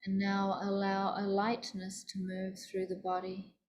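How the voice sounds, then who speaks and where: A woman teaching yoga nidra, leading a guided meditation in a soothing, deliberate, instructive manner. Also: mid-range voice, completely flat delivery, a little mellow, a little hiss or hum.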